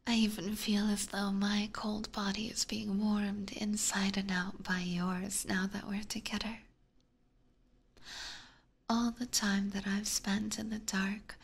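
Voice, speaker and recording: A woman voicing an adoring, possessive vampire catgirl with sweet menace, whispering slowly and tenderly in an ASMR roleplay. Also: mid-range voice, heavily acted. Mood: devoted, yearning. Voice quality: breathy whisper. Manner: slow, tender, coaxing.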